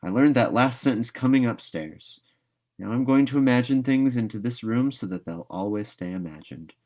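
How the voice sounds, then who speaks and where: A man's voice: lowish voice, a little mellow, natural voice. A man voicing a dreamy, chattering young orphan girl in a storybook read-aloud, gushing and wistful. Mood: grandly imaginative.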